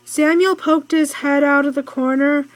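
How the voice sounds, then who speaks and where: A woman's voice: sits high, fairly even intonation, unhurried, dry recording, poor audio. A young woman reading a children's storybook aloud, stumbling and repeating words as she goes. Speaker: amateur storybook reader.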